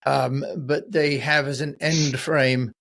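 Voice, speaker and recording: A man's voice: mid-range voice, steady tone, takes it slowly, gravelly, fairly bright tone. A man hosting a podcast, talking conversationally with a guest. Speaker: podcast host. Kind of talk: conversational interviewing.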